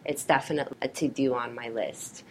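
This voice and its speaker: A woman's voice: very deep voice, fairly even intonation, a little fast, crowd noise. A woman raised in Canada, with Polish as her first language, interviewing a guest and reading formal prepared questions.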